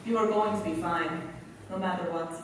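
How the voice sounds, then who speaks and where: A woman's voice: on the low side, steady tone, moderate pace, far from the mic, a little hiss or hum, lightly acted. A woman playing an earnest, tough resistance fighter with formal, clipped grammar in a staged radio play, recorded in an echoey hall. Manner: formal phrasing with dropped articles.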